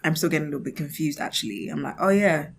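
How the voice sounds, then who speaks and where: A woman's voice: low voice, lively intonation, very fast, vocal fry, husky. A woman in her twenties hosting a casual podcast monologue, chatting expressively and acting out imagined remarks in a giggly, conversational way. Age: 20s. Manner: giggly, often laughing mid-thought. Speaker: podcast host.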